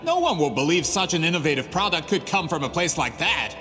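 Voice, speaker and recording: A man's voice: a little high, very animated, fairly quick. A man voicing a greedy, scheming male printing merchant in a game cutscene, smug and scornful. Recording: game audio with reverb and music.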